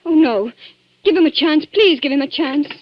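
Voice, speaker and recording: A woman's voice: high voice, animated, normal speed, dry recording. An actress playing a distraught, defiant young woman, dramatic and pleading, in a 1940s radio thriller. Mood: desperate pleading. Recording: dull 1940s radio broadcast.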